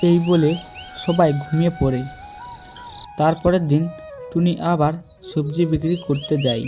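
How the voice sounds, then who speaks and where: A man's voice: a little high, fairly even intonation, moderate pace. Guy narrating a kids bird cartoon story, scripted, music going behind.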